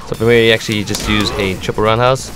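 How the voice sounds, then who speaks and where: A man's voice: on the low side, fairly even intonation, fast. A man giving an instructional commentary on fighting game moves, explaining them step by step as a competitive gamer. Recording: music playing behind the voice. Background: music.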